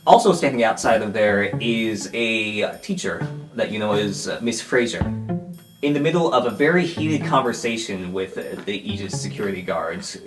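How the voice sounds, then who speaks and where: A man with a low voice narrates as game master, setting scenes in a conversational way. The recording is echoey, with clear music underneath. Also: expressive, moderate pace. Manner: conversational, descriptive, with hesitations. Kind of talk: narrating a tabletop roleplay. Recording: roomy echo, music under voice. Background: background music.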